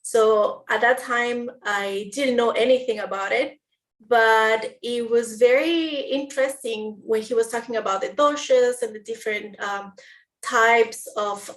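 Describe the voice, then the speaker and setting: A woman from Peru with a Peruvian Spanish accent, a guest in a casual interview, speaking haltingly as she searches for words. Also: mid-range voice. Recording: roomy audio from a distant mic.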